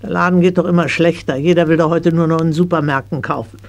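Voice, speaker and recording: A woman's voice: deep voice, fairly even intonation, fast talker, a little mellow. An actress playing a middle-aged, careworn shopkeeper mother in a children's radio play, grumbling and chiding. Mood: agitated, grumbling. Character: scolding, careworn shopkeeper mother of a horse-mad girl.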